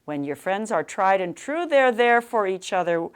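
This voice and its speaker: An older American woman with a loud, projecting voice, giving an author's talk and reading in an emphatic storytelling manner. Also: medium pitch, big pitch swings, moderate pace, clear, resonant tone. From Nebraska, United States. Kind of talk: public talk with anecdotes and book reading. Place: live talk to a library audience in a room.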